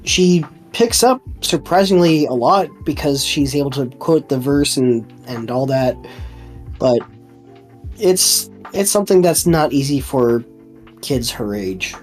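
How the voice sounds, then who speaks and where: Woman co-host, medium-low voice, matter-of-fact, measured book chat, faint guitar music behind. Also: expressive, a little mellow. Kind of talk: casual discussion of a book.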